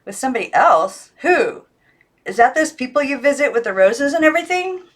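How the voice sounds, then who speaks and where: A woman's voice: high-pitched, very animated, brisk, voice pushed up. A woman voicing an inquisitive schoolgirl in an eager, questioning way for a storybook. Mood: curious, surprised. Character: Barbara, inquisitive schoolgirl saying goodbye.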